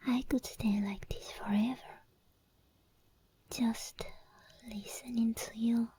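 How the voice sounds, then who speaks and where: A woman voicing an obsessed, possessive female sleep paralysis demon in an ASMR roleplay, in a breathy, teasing, intimate whisper. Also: on the high side, steady tone, slow pace, warm tone, heavily acted. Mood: obsessive, intimate.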